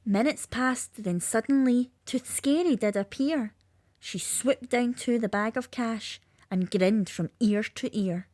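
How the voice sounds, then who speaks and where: A woman's voice: on the high side, takes it slowly, a little mellow, no room sound, clean studio sound. A woman with a lilting, sing-song delivery, reading a rhyming children's story aloud.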